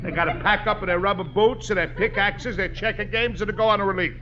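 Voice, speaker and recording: An actor playing a boisterous, scheming Italian immigrant, loud and bossy in broken English with an Italian accent, in a 1950s radio sitcom. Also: male voice, high voice, fairly even intonation, fast talker, natural voice. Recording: muffled, noisy old radio recording.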